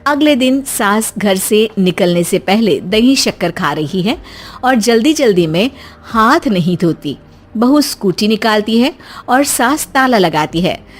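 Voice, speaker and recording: A woman's voice: medium pitch, lively intonation, unhurried. A woman narrator telling a scripted storybook tale.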